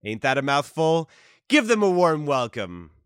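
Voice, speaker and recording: A man's voice: a little high, sing-song, unhurried, a bit bright, clean tone, no room sound, studio quality. A man playing a young conference host, addressing an audience formally and politely in an audio drama. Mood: upbeat, presenting. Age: young adult. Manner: polite, formal public announcing. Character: grinning young male conference host in his thirties. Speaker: conference presenter. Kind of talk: audio drama stage speech.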